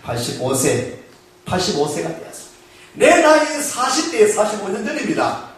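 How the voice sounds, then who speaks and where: Male pastor, high voice, call-and-response sermon, very reverberant church, distant mic. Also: huge pitch swings. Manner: call-and-response preaching, asking the congregation questions; slows to stress key phrases. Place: church sanctuary. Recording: echoey church hall, mic far off.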